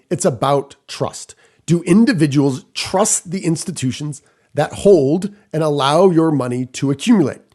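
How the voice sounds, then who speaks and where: Male podcast host, somewhat high voice, emphatic short phrases and rhetorical questions, scripted solo business commentary. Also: darkish tone.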